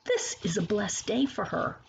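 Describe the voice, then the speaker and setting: A woman voicing a kindly grown woman in a storybook who gently explains and reassures as she talks to a child. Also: medium pitch, big pitch swings, moderate pace, creaky. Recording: dull lo-fi sound with faint noise.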